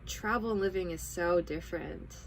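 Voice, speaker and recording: A woman in her twenties from Hawaii, answering interview questions in a loose, easygoing way. Her voice sits slightly low, and she scatters in fillers and small laughs. A faint background hum runs under the recording. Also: normal speed, gravelly. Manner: relaxed, with frequent fillers and soft laughs. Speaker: guest, English teacher and content creator. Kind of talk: casual podcast interview. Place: home near a train line in Tokyo.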